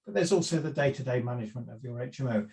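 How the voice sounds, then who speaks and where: A man's voice: mid-range voice, lively intonation, very fast, gravelly, mellow. A middle-aged man giving a seminar talk on property investment, conversational, with frequent fillers and restarts. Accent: none Welsh. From lived in South Wales for years.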